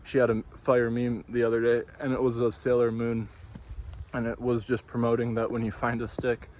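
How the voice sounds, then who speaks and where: A man's voice: mid-range voice, monotone, moderate pace, a little mellow, a little hiss or hum, poor audio. A young man rambling through a casual, tangential monologue full of fillers, recorded outdoors. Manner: meandering, tangential, many fillers. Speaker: vlogger.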